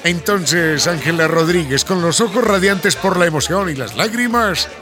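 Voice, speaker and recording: Male narrator for a radio serial, fairly high voice, very expressive, salsa playing behind. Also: moderate pace, over loud music. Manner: animated storytelling. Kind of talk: scripted radio drama narration.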